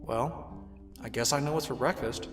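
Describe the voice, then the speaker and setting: A man voicing a caring young stallion who looks after his little sister, speaking gently and soothingly but firmly. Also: medium pitch, moderate pace, music in the background, lightly acted. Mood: tender. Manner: gentle, soothing, quietly firm. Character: caring young stallion, protective big brother. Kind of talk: fanfic audiobook reading.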